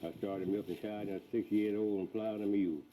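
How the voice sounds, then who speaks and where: A man's voice: on the low side, steady tone, brisk, warm tone. A man in his eighties with a Southern US accent, reminiscing in a folksy, plain-spoken rural dialect. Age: 80s. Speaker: elderly farmer, subject of a news feature. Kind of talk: unscripted reminiscing. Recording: thin phone-like sound, faint noise.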